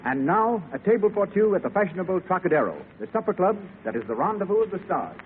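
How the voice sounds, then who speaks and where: A man's voice: high voice, very animated, fast talker, over loud music. A man announcing a scripted commercial in a 1930s radio style. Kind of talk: 1930s radio advertisement read. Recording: tinny, hissy 1930s radio broadcast.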